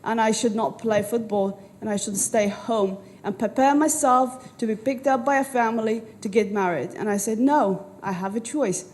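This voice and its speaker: Earnest Afghan-born woman panelist, slightly high. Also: a little mellow. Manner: earnest, halting with restarts. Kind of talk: panel discussion storytelling. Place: conference venue with audience.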